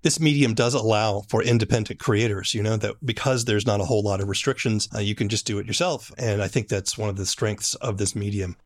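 A man's voice: fairly low pitch, fairly quick, hardly any pauses. A man hosting a podcast, presenting scripted introductions and interviewing a guest.

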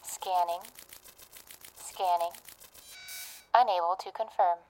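Low, clipped female computer voice. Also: steady tone, takes it slowly, darkish tone, a little hiss or hum, low-quality recording. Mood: impassive. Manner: clipped, procedural announcements. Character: impassive female computer of a cybernetic implant. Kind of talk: audio drama acting.

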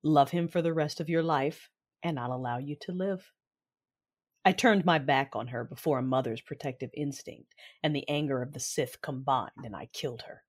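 Proud, vengeful Sith commander played by a woman, formal and measured, narrating her own sci-fi audio drama with authority. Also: sits low. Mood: angry. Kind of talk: first-person audio drama narration and dialogue.

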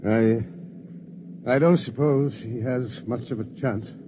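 An actor playing a gruff, hearty older colonel in a 1950s radio drama. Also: male voice, medium pitch, takes it slowly, close to an everyday voice. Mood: bluff. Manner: bluff, exclaims "dash it". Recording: noisy 1950s radio broadcast.

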